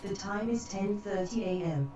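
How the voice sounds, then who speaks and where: A computer-generated female assistant voice making flat, synthetic status announcements. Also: medium pitch, normal speed. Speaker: voice assistant of the software. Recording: distant, roomy, muffled speaker playback with crowd noise.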